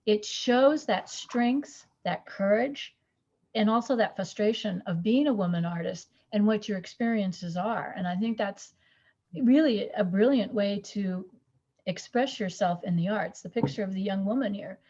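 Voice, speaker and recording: A woman's voice: medium pitch, unhurried, highs cut off. A woman hosting an art panel, encouraging the speakers and affirming them often. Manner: encouraging, many soft affirmations. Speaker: panel host. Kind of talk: moderating a panel.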